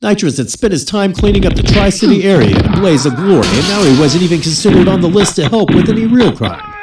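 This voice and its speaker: A man's voice: high voice, big pitch swings, quick pace, heavy background noise. A man narrating an adult comedy radio drama, reading scripted storytelling with wry, crude humour.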